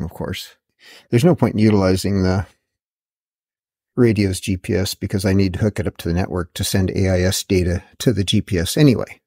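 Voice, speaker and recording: A man's voice: on the low side, gravelly, darkish tone. Matter-of-fact man explaining a DIY install. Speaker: host of a DIY boat install video. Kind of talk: hands-on how-to narration.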